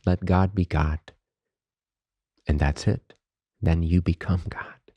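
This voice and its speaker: A man leading a guided meditation as a teacher, speaking softly, slowly and calmly in reverent phrases with long pauses. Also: sits low, breathy edge, dry recording, lo-fi sound. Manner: calm, unhurried, reverent spiritual phrasing.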